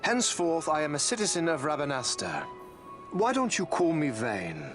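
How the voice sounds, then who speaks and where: An eloquent imperial ruler in a fantasy game. His voice sits high for a man and moves widely in pitch, with measured, stately oratory over soft music. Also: very animated. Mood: genial, commanding. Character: smooth, regal adult male imperial consul, eloquent and calculating. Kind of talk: formal theatrical game voice acting.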